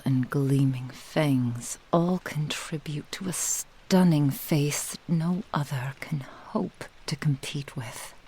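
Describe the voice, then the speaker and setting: A woman voicing a proud, possessive, seductive fox-spirit witch in a breathy whisper, with a grandiose, intimate manner, for an ASMR roleplay. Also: extremely low pitch, mellow, exaggerated voice, poor audio. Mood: haughty, commanding. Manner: slow, lingering, grandiose, intimate.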